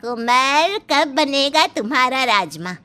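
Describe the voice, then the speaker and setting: Scheming cartoon mother-in-law, played by a woman: high-pitched, scolding, emphatic. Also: lively intonation, takes it slowly, pushed and bright, full character voice. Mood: scolding, impatient. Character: scheming, sharp-tongued mother-in-law, envious of younger daughter-in-law.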